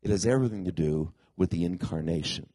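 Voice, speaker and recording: Man with a dull, husky voice. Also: low voice, fast, dark tone, no room sound, clean studio sound. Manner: conversational, asks the congregation questions. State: congested from a cold-like ailment after a tooth extraction. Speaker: pastor. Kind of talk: sermon.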